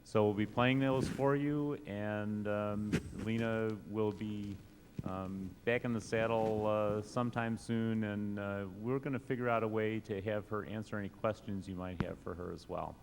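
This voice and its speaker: Low-voiced male moderator, halting, hall echo, faint clicks. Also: fairly even intonation, a little mellow, slight background noise. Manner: hesitant, many fillers. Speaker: panel moderator. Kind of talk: moderating a conference panel. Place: conference stage. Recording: reverberant conference hall.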